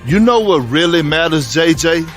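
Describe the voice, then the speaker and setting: Brash, high-voiced sports TV pundit. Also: male voice, expressive, moderate pace, fairly bright tone, soft background music. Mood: combative. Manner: emphatic, opinionated, punchy repetition. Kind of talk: heated sports talk show debate.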